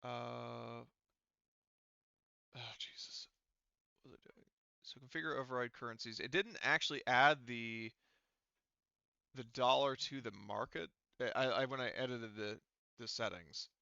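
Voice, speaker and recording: A man running an online tabletop game as game master, talking casually and haltingly as he thinks aloud while troubleshooting. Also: medium pitch, fairly even intonation, creaky, pushed and bright, clear, resonant tone, no echo, dull audio.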